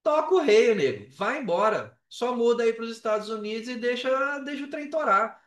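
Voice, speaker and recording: A Brazilian man with a high voice tells a story in loose, slangy talk, slipping into quoted dialogue as he goes. He sits back from the mic in an echoey room, so the sound is roomy and a little distant. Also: big pitch swings, moderate pace. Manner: colloquial, slangy, re-enacts dialogue. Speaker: podcast guest, affiliate marketer. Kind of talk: animated casual storytelling.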